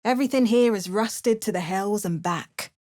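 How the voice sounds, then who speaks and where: A woman's voice: mid-range voice, moderate pace, mellow, dry recording, studio quality. A woman voicing an adventurer's lines in a video game, with wry, understated asides and narration. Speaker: video game player character.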